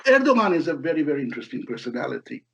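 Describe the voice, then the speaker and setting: A middle-aged man from Lebanon giving halting, explanatory answers as an academic expert in an interview. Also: fairly high pitch, very animated, vocal fry, a bit bright. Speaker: history professor, interview guest. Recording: dull, lo-fi call audio.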